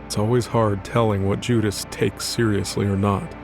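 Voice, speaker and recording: A man's voice: fairly low pitch, little pitch movement, normal speed, over music. A man narrating scripted dramatic fiction as the storyteller of an audio drama.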